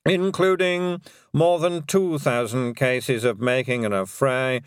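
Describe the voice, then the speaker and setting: A man voicing a pompous male lawyer who reads out charges in a slow, formal way. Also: mid-range voice, clear, resonant tone, no echo, clean studio sound, lightly acted. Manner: measured, formal.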